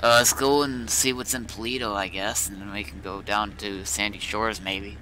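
A man's voice: fairly low pitch, fairly even intonation, moderate pace, sharp tone, poor audio. A man chatting casually in character as he plays an online roleplay game. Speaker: roleplay gamer and streamer. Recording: clear vehicle noise behind game voice.

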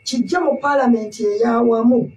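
A woman's voice: on the high side, measured pace, darkish tone, far from the mic. A Ugandan woman in her thirties explaining points at length in a studio interview, mixing in English terms. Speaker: guest analyst. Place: indoor studio. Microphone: studio mic on stand. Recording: echoey room, voice off-mic.

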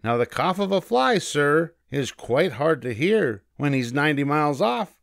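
Man as a storybook rabbit, cocky, boastful, high-pitched, snappy, swooping intonation. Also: big pitch swings, moderate pace, gravelly, fairly bright tone, no room sound, studio quality, full character voice. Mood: bragging. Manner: bragging, snappy.

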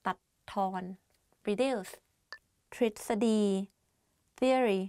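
A woman's voice: a little high, normal speed, a little mellow, clear, resonant tone, no echo, studio quality. A woman in her twenties from Thailand with a Thai accent, giving an informal language lesson as a teacher talking to camera. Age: 20s. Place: small indoor studio. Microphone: lapel mic.

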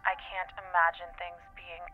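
A woman playing a gloomy, morose, deadpan young caller in an audio drama, terse, through a telephone filter. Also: fairly low pitch, normal speed. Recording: tinny phone line, music behind.

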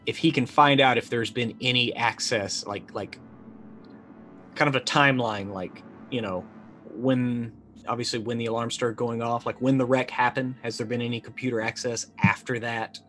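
A man in his thirties talking casually as a tabletop game player, asking questions and thinking out loud. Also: mid-range voice, steady tone, moderate pace, soft background music. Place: indoor room.

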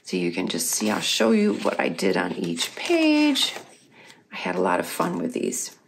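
A middle-aged woman, a little husky and losing her voice, chatting casually as she walks through her planner pages. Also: extremely low pitch, wildly swooping pitch, measured pace, slight background noise. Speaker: craft company host. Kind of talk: casual craft tutorial voice-over.

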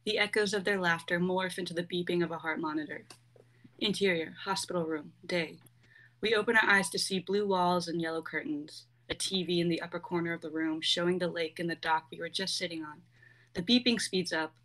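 A young woman narrates a script, reading the stage directions aloud. Her voice sits slightly lower than most women's. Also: fairly even intonation, fairly quick, fairly bright tone, low-quality recording. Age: young adult.

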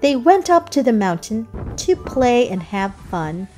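Female children's book narrator: expressive verse reading, unhurried pace, lively pitch, music underneath. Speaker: storybook narrator. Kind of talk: children's read-aloud, scripted narration.

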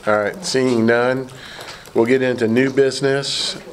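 A hoarse man chairing a committee meeting, talking haltingly with many fillers and restarts in a large meeting room. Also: mid-range voice, fairly even intonation, slight background noise.

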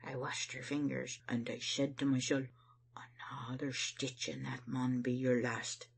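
A woman's voice: rumbling low, little pitch movement, moderate pace, creaky, slightly rough, full character voice. A woman voicing a proud, stubborn male church elder, stern and guilt-ridden, telling his tale emphatically in broad Scottish dialect. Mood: regretful.

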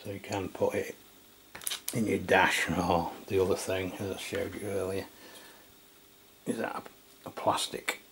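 Low-voiced man over background noise. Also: flat delivery, a little slow, long pauses, fairly bright tone. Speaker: radio product reviewer. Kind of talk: casual unscripted product demonstration. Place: indoors at a tabletop. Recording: clear background noise, room ambience.

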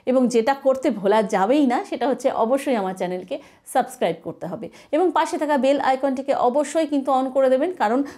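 Woman in her thirties, high voice, singing teacher, emphatic instructive video lesson. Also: lively intonation, a little fast, dark tone.

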